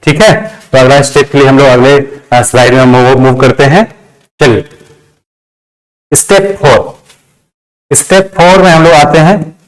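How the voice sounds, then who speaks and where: A man's voice: medium pitch, steady tone, moderate pace, long pauses, poor audio. A man teaching a grammar lesson step by step, repeating phrases, asking and answering his own questions, and mixing in English terms. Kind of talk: classroom lesson, step-by-step explanation.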